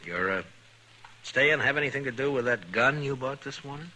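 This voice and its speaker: An actor playing a firm, concerned frontier marshal in a 1950s radio western, plainspoken and probing. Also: male voice, mid-range voice, expressive, moderate pace, pushed and bright, low-quality recording. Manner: plainspoken, hesitant lead-ins, probing questions. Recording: old 1950s radio recording, faint noise.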